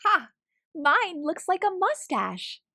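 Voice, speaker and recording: A woman voicing an excited, playful young girl in a children's storybook, eager and exclamatory. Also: very high voice, takes it slowly, no echo, studio quality, voice pushed up, full character voice. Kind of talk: children's storybook character reading.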